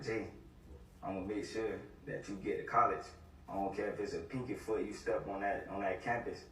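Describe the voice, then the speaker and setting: A young man speaking with heartfelt, casual, halting warmth about his father. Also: lowish voice, slight background noise. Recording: echoey room from far off.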